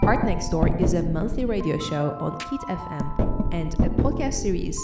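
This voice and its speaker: A woman's voice: on the low side, expressive, normal speed, reverberant. A woman host reading a scripted show outro announcement. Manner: reads a sign-off announcement. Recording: distant voice over loud music.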